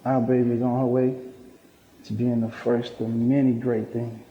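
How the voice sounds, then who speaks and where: A man's voice: medium pitch, little pitch movement, normal speed, lightly acted. An actor in a stage play, playing an earnest middle-aged father and hard drinker who is resolving to change. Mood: earnest, hopeful. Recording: muffled roomy stage recording, faint noise.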